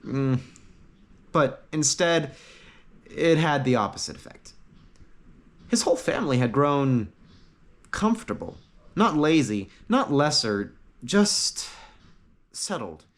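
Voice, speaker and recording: A man narrating a fantasy story from a script, with dramatic pauses and short emphatic phrases. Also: on the high side, expressive. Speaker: audiobook narrator.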